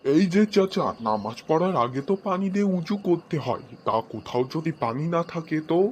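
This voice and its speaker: A man playing a cheeky, excitable comic character in a cartoon dub. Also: a little high, fairly quick, a little hiss or hum, lightly acted. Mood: questioning.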